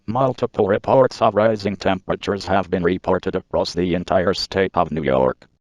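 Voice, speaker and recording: A deep male synthetic voice reads a civil warning. It is formal and mechanical, with a completely dry sound. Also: normal speed, no echo. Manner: formal, mechanical text-to-speech delivery. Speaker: emergency alert announcer. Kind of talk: scripted civil warning readout.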